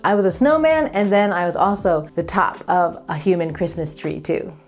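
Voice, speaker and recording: A woman's voice: fairly low pitch, normal speed. Upbeat American woman vlogger over background music. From Japan, raised by American parents. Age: 30s. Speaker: vlogger, channel host. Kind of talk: personal storytelling vlog.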